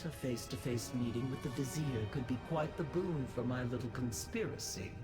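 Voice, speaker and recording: A woman's voice: extremely low pitch, a little fast. A woman playing a scheming, silky spider-creature noble in a fantasy game, sly and insinuating. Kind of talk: fantasy game character acting. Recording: echoing voice under game music.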